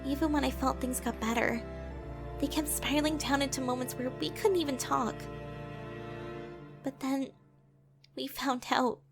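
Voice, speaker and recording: Woman acting as young heroine, high voice, earnest, wounded, insecure, dramatic audio drama delivery, music underneath. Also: fairly even intonation, normal speed, pauses often. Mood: sorrowful, reflective. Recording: music bed behind the voice.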